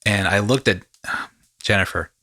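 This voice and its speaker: A man's voice: on the low side, moderate pace, pushed and bright, no room sound, studio quality. A man hosting a casual podcast conversation, talking with rambling enthusiasm and often repeating his phrases. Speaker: podcast host and actor.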